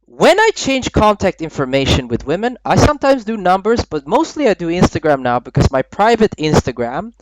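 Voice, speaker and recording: High-voiced man in his thirties coaching. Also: very animated, fairly quick, hardly any pauses, no echo, rough recording. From Sweden. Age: 30s. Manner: laid-back, repetitive, keeps checking in with "right?". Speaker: dating coach. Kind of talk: voice-over commentary on footage. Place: voice-over recorded apart from the footage.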